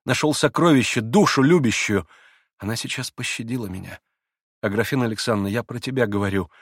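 A man reading an audiobook and voicing a gentle young man who speaks firmly and from the heart. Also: medium pitch, dry recording, studio quality, lightly acted. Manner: firm, heartfelt, quiet.

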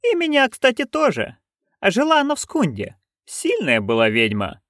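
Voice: mid-range voice, huge pitch swings, normal speed, fairly bright tone, dry recording, studio quality, pitched above the performer's own voice. A reader voicing a bawdy, talkative old woman witch, brash and grumbling, in an audiobook. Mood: exasperated. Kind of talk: audiobook character voice.

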